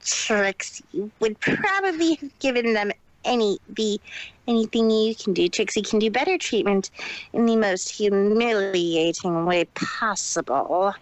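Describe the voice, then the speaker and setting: A woman's voice: mid-range voice, unhurried, no echo, close to an everyday voice, poor audio. A woman voicing a boastful, proud show-off pony mare who talks grandly about herself in a fan fiction reading. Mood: indignant. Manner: refers to herself in the third person, haughty.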